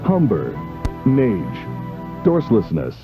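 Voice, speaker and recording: A man's voice: on the low side, huge pitch swings, slow, muffled recording, music in the background, heavily acted. A man playing an eerie announcer in a retro commercial, giving out isolated words spaced far apart. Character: eerie commercial announcer listing invented emotions.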